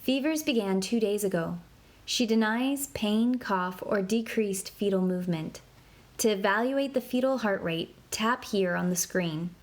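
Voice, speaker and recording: A woman reads an interactive children's book aloud in a clear, explanatory way. Her voice sits at a middle pitch, and she slows down to spell out medical terms. There is clear background noise under her voice. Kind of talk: scripted read-aloud narration for kids.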